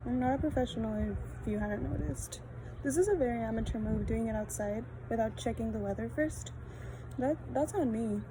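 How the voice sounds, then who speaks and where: A woman's voice: on the high side, fairly quick. Chatty young woman, windy backyard. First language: Spanish. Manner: casual, rambling, self-deprecating, frequent fillers. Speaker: beauty vlogger. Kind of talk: chatty makeup tutorial. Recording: outdoor wind and ambient noise.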